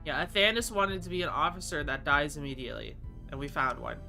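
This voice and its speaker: A man's voice: on the high side, fast, gravelly, pushed and bright, soft background music. A man with a stuffy, congested nasal voice, rambling casually as he plays a game on stream and answers chat. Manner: chatty, rambling, thinks aloud and answers chat. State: just woke up. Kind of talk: casual live game commentary.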